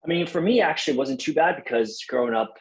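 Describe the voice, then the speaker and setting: A man's voice: fairly high pitch, animated, fast talker. A young man from Taiwan, a podcast guest, chatting casually and in a friendly way, with lots of fillers and restarts. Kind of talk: casual interview chat.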